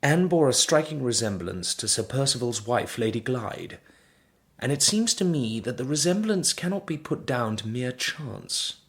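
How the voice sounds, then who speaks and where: An actor playing an earnest, determined young drawing master in an audio drama, speaking in a measured, formal, husky way. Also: male voice, mid-range voice, very animated. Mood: probing. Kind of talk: audio drama acting and narration.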